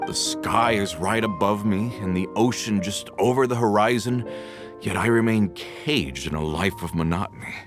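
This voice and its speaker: Actor as a low-voiced weary working man. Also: moderate pace, over music, lightly acted. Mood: weary, resigned. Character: weary working man, hesitant, resigned to monotony. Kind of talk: video game voice acting.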